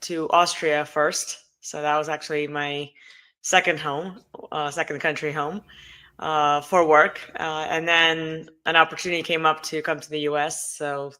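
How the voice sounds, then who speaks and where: 带俄罗斯口音的中年女性嘉宾，声音明亮清晰. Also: female voice, deep voice, little pitch movement, unhurried, sharp tone. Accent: Russian. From Russia. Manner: hesitant, many fillers. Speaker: guest. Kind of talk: casual interview chat.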